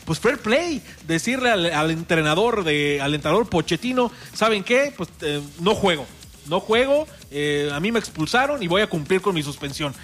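A man's voice: high-pitched, lively intonation, moderate pace, a bit bright, faint music behind. A man with a Mexican accent hosting a radio sports show in casual chat, chatty with fillers and restarts. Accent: Mexican Spanish. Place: radio studio.

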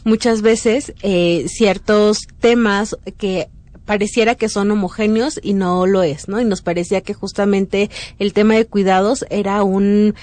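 A young adult woman with a central Mexican accent answers as an interview guest. Her voice sits at a middle pitch, and she explains things hesitantly, often feeling for the right word. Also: steady tone, a little slow, a little mellow, no room sound. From Hidalgo, Mexico. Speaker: interview guest, program officer.

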